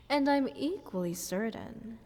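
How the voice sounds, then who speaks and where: A woman's voice: a little high, darkish tone. A woman playing a playful, selfish goddess in a fantasy audio roleplay, talking down to a little mortal in a teasing, condescending way with whispered phrases. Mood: amused, condescending. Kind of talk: scripted fantasy audio roleplay addressed to the listener. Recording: long hall-like reverb.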